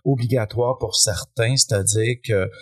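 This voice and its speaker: A man's voice: lowish voice, unhurried, husky. Laid-back Québécois man, rambling. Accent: Québécois French. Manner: relaxed, rambling, many fillers. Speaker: guest. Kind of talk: casual conversation.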